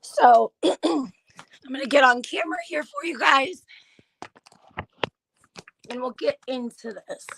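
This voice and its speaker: A woman hosting a casual live stream, hoarse from laryngitis, chatting in a confiding way and often clearing her throat. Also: high-pitched, very animated, slow pace, pauses often, a bit bright, slight background noise, rough recording. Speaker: gossip channel host.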